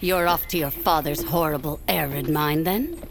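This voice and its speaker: A woman playing an anxious, affectionate highborn aunt in a fantasy audio drama, speaking in formal, old-fashioned phrases. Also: normal speed, creaky, background noise. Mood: affectionate, genteel. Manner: formal, archaic phrasing, hesitant.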